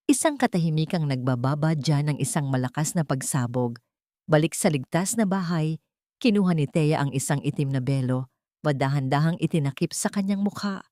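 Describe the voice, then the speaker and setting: A woman narrating a dramatic audio story in a measured storytelling manner. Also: low voice, darkish tone, dry recording, studio quality.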